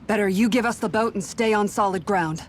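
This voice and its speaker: Casting: young male companion played by a man for a fantasy game, high voice, slight background noise. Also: a little fast, close to an everyday voice. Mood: wary. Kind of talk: video game voice acting. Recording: faint ambient noise.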